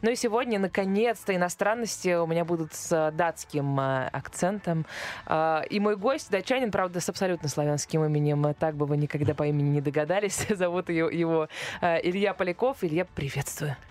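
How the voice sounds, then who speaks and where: A woman's voice: lowish voice, a little fast, darkish tone. A woman hosting a radio interview show, chatty and hesitant, with many fillers as she asks questions.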